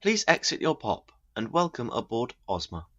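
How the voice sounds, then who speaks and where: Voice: medium pitch, big pitch swings, moderate pace, fairly bright tone. Actor doing polite robot announcer on a spaceship, formal, lilting up and down, dull lo-fi intercom sound. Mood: formal, neutral. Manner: formal, courteous. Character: polite automated shuttle announcement voice. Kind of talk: scripted announcement.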